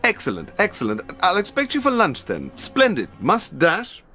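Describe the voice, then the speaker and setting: An actor playing a dapper, jittery British secret service gentleman in a video game, with a plummy upper-class English accent and fussy politeness. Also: high-pitched, wildly swooping pitch, normal speed, soft background music, full character voice. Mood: flustered, chirpy. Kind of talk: video game cutscene acting.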